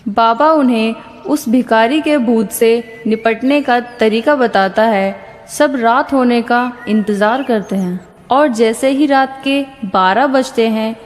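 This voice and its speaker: A woman narrating a scripted horror story as its storyteller. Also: fairly high pitch. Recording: long reverb with faint music. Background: faint background music.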